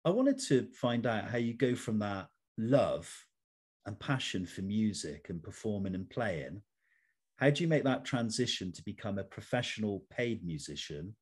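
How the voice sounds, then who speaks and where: Low-voiced man hosting an interview. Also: very animated, unhurried, a little mellow, slightly rough. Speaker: interview host. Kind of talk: interview questions, prepared and formal.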